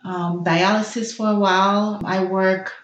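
A woman's voice: medium pitch, fairly even intonation, measured pace, echoey room, slight background noise. A young woman from Haiti whose first language is Haitian Creole, speaking as a nurse and interview guest, thoughtful and hesitant, with many fillers. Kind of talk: personal interview.

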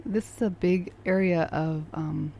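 A woman's voice: lowish voice, steady tone, unhurried, creaky, mellow. A woman hosting an interview program, introducing and questioning her guest. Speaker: radio interview host.

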